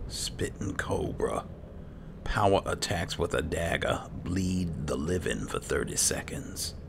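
A man hosting a roleplay playthrough of a game, murmuring and musing in character in a gravelly, breathy voice. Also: a bass voice, animated, slow. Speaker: gaming roleplay host. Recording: clear noise and game ambience behind.

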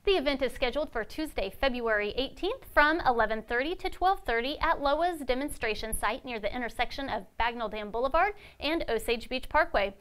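High-voiced young woman reading news. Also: animated, brisk, few pauses, fairly bright tone, clean tone. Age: young adult. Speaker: news anchor. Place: studio.

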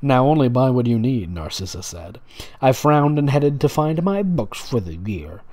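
A man with a relaxed, mellow voice and very lively intonation hosts a comedic reading. He slips between reading aloud and dry, sarcastic commentary, casual and mocking throughout. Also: mid-range voice, moderate pace. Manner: sarcastic, mocking, dramatic asides. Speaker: host reading and mocking fan fiction.

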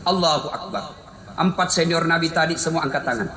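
A middle-aged Sulawesi-accented preacher delivers a Friday sermon into a stand mic, his high, bright, forceful voice ringing through an echoey mosque hall. He tells dramatic stories and throws rhetorical questions at the congregation, dropping to a hush and then rising again. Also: fairly quick. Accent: Indonesian from Sulawesi. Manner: dramatic storytelling preacher, rhetorical questions, swings from loud to hushed. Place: mosque stage, indoors. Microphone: stand mic at lectern.

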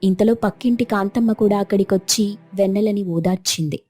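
A woman's voice: medium pitch, fairly even intonation, a little fast, mellow, breathy edge, faint music behind. A woman narrating a scripted moral story as its storyteller.

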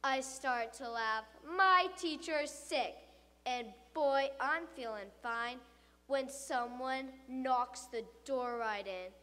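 A woman's voice: sits high, slow pace, exaggerated voice. A woman playing a dramatic, frightened school pupil telling a tall tale in rhyming verse. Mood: smug, then scared. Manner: dramatic, expressive storytelling. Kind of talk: rhyming comic monologue, theatrically recited. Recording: reverberant hall.

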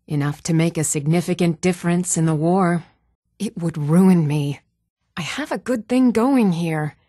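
An actress voicing an even-toned young Nordic townswoman and warrior in a fantasy video game, measured and clearly spoken. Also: fairly low pitch, dry recording, clean studio sound, natural voice. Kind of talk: scripted video game dialogue.

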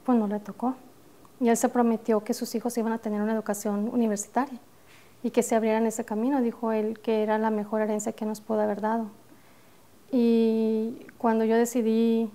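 A middle-aged Mexican woman, an architect and studio guest, telling her story with lively determination, with a northern Mexican accent touched by years in the US. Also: a little high. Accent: northern Mexican Spanish, with some American influence. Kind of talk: TV studio interview. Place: TV studio set. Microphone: lapel.

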